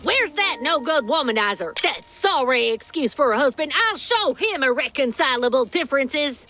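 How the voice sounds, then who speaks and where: An actress playing a fiery, vengeful scorned ex-wife, brash and theatrical, in a cartoon game. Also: female voice, high-pitched, very animated, moderate pace, sharp tone, heavily acted. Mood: furious.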